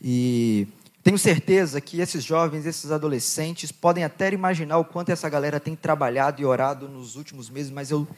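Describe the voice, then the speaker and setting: A young man with a Goiás accent preaching to a youth conference, joking with the crowd, reading scripture and praying in a quieter, lower voice. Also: normal speed. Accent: Goiás Brazilian Portuguese. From Goiás, Brazil. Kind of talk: sermon with Bible reading and prayer.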